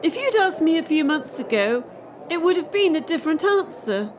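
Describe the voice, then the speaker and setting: High-pitched bitter young gymnast, acted by a woman. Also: very animated, normal speed, slight background noise. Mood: solemn, resolute. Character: bitter, defiant young female elite gymnast under pressure. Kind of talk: radio play acting.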